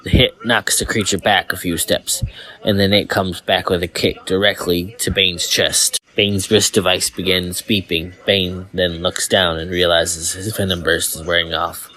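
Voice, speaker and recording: Male storyteller for fan fiction audio, deep-pitched, scripted narration. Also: flat delivery, a little slow, pushed and bright, low-quality recording.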